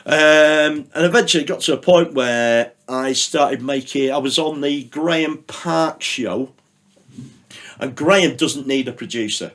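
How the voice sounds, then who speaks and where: A man's voice: on the high side, measured pace, a bit bright. A middle-aged man, telling rambling stories as a podcast guest, full of fillers and the odd swear word. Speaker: podcast guest, radio producer. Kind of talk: casual chat.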